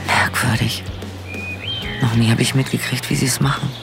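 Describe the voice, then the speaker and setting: Radio play casting: a bitter woman gardener, creaky voice, brooding inner monologue over music. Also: rumbling low, little pitch movement, moderate pace. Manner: brooding, musing to herself.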